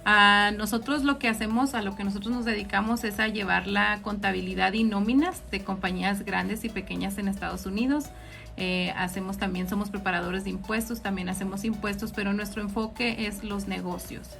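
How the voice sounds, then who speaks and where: A woman's voice: mid-range voice, fairly even intonation, brisk, gravelly, a bit bright, faint music behind. A woman with a northern Mexican accent hosting an informal live question-and-answer session, explaining things directly to her listeners. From Ciudad Juárez, Mexico. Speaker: host, accountant answering audience questions.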